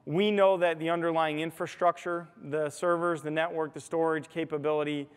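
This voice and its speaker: Clear-voiced American man presenting in a reverberant hall. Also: fairly high pitch, little pitch movement, moderate pace. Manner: explanatory, often tags points with "right?". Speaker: technology executive giving a keynote. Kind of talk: conference keynote, conversational presentation. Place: large hotel ballroom stage, indoors. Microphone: lapel mic. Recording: reverberant hall, amplified stage sound.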